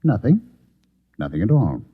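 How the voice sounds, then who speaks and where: An actor playing a formal, coolly menacing Martian agent posing as a newspaper reporter in a mid-century radio drama. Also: male voice, fairly low pitch, very animated, breathy edge, no room sound, full character voice. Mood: smug, threatening. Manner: formal, polite, deliberate. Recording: tinny, narrow-band old radio recording.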